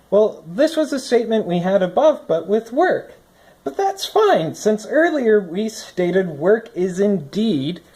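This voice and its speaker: Mid-pitched explainer reading a lesson aloud. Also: a little mellow, slightly rough. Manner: explanatory, think-aloud asides. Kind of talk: scripted read-aloud lesson with commentary. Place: indoors at a desk. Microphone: phone recording from above the desk.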